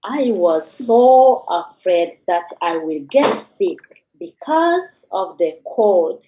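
A woman with a Rwandan accent telling a personal story for radio, with dramatic pauses and rhetorical questions. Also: mid-range voice, animated, mellow, a little hiss or hum, rough recording.

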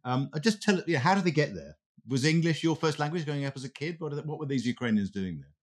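A man in his sixties hosting a wine podcast, asking brisk, chatty questions. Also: on the high side, lively intonation. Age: 60s. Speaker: podcast host, interviewer. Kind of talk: podcast interview.

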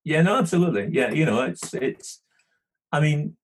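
A man's voice: a little high, animated, normal speed, slightly rough. A middle-aged man with a Scottish accent, a podcast guest chatting casually and rambling with many restarts. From London. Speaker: podcast guest, studio manager. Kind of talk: casual interview chat.

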